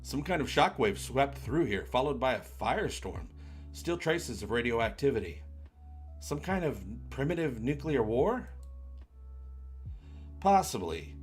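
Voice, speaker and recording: A man voicing a calm, practical starship officer in a science fiction read-along. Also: mid-range voice, moderate pace, slightly rough, soft background music. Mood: serious, analytical.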